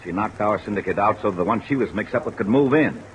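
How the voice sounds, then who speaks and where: A bitter fugitive from a vintage radio drama acted by a man, deliberate and cynical. His voice is low, and rain noise runs clearly through the old recording. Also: close to an everyday voice. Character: cynical, bitter male fugitive accusing a woman.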